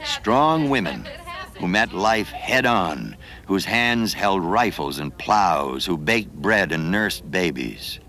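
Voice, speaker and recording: A man reading scripted television documentary narration in a measured, earnest storytelling manner. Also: sits low, big pitch swings, pushed and bright. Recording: music bed behind voice. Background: music.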